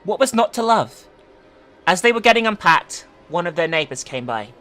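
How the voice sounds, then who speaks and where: Female online host with a lowish voice, casual yet able to narrate dramatically, music underneath. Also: sing-song, moderate pace, a bit bright. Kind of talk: casual commentary and dramatic story reading. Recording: music behind the voice.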